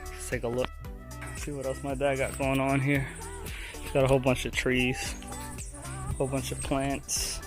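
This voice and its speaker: A man's voice: medium pitch, completely flat delivery, normal speed, pauses often, music in the background. A man from Puerto Rico talking casually to his viewers as he tours and tastes things. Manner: chatty, addresses viewers directly. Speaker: travel vlogger. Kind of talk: casual travel vlog.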